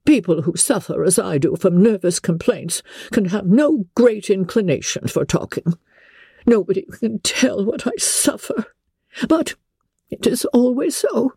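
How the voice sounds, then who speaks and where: A woman's voice: medium pitch, lively intonation, a little slow, warm tone, breathy edge, no room sound, studio quality, voice pushed up, exaggerated voice. A woman narrator voicing a fretful, melodramatic middle-aged mother who complains plaintively in a classic novel reading. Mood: self-pitying complaint. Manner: doleful, wailing complaint.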